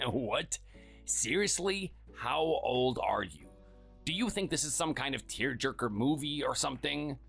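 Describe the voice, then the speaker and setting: A performer voicing a cold, contemptuous workaholic husband who talks curtly and dismissively. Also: male voice, medium pitch, animated, a little slow, gravelly, fairly bright tone, breathy edge, soft background music, heavily acted. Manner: curt, scornful, dismissive.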